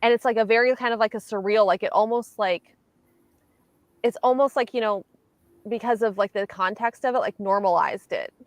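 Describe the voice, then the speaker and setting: Woman, medium pitch, very dry sound, halting reflective casual talk. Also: no echo. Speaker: host of reading livestream. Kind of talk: casual chat.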